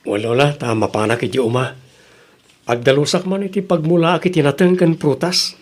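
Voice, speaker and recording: A man playing a humble, kindly grandson in a radio drama, with an earnest and gentle delivery. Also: on the high side, fairly even intonation, fairly quick, close to an everyday voice. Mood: reassuring. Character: humble, kindly grandson, patient under suspicion. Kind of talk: Ilocano radio drama acting.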